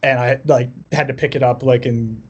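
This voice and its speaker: A man's voice: medium pitch, fairly even intonation, normal speed, darkish tone, breathy edge, muffled recording. A man chatting casually as a musician guest on a podcast, telling personal stories in a wry, self-deprecating way. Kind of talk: casual chat, personal storytelling.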